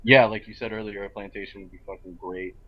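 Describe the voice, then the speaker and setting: A man with a lowish voice and very little melody talks casually as a game player. He is a little hesitant. The sound is dull and lo-fi, with faint noise. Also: steady tone, fast. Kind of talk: casual chat.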